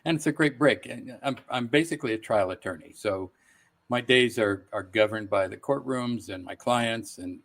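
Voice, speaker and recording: A middle-aged man speaking as a guest voice actor, telling stories casually in a relaxed interview setting. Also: mid-range voice, normal speed.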